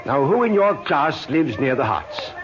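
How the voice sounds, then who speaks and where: A man playing a kindly Scotland Yard inspector in an old radio drama, patient and gently probing. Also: medium pitch, sing-song, unhurried, a crowd in the background. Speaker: police inspector. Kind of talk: old time radio acting. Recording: muffled old radio recording.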